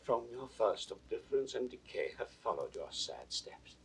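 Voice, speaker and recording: An actor playing a loyal, grieving nobleman in a classical Shakespeare audio drama, solemn and often hushed. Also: fairly low pitch, very animated, moderate pace, slight background noise. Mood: earnest devotion. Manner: hushed, solemn, breathy declamation. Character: loyal grieving nobleman, devoted servant to the king. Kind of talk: 1960s recorded Shakespeare drama, classical stage acting.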